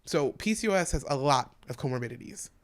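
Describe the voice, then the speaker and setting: A woman with a deep voice, a stand-up comedian talking frankly as a podcast guest in casual conversation. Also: expressive. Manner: frank, talks fast in long run-on explanations.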